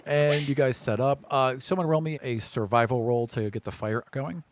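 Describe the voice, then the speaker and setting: A man's voice: medium pitch, fairly even intonation, normal speed, a little hiss or hum. Laid-back man running a tabletop game. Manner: easygoing, descriptive, laughs along with players. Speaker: game master.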